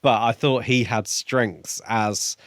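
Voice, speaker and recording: A man co-hosting a casual book discussion, measured and reasoned, carefully qualifying his points. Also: mid-range voice, fairly even intonation, a bit bright, no echo, studio quality. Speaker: book podcast co-host.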